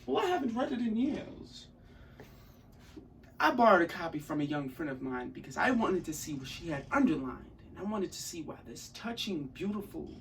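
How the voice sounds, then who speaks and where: A young man acting an intense, articulate character in a theatrical monologue, with emphatic delivery and deliberate pauses. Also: fairly high pitch, a bit bright. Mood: incredulous, building. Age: young adult. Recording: distant mic, audible room noise.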